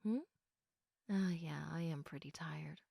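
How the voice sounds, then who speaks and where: A woman playing a sleepy, affectionate girlfriend in an intimate sleep-aid roleplay, speaking softly and reassuringly with a tendency toward giggles and whispers. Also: deep voice, vocal fry, no room sound, clean studio sound, exaggerated voice. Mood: drowsy, affectionate. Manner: soft, unhurried, often giggly, dropping into whispers. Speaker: audio roleplay performer.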